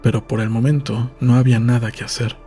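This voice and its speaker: A man reading a novel aloud as an audiobook narrator, in a calm, measured storytelling manner. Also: medium pitch, breathy edge, faint music behind.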